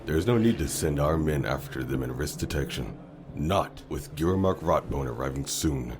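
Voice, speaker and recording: A man voicing a cold, contemptuous dark knight general in a fantasy audiobook, with a commanding, measured and dismissive delivery. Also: extremely low pitch, lively intonation, background noise, voice pushed down.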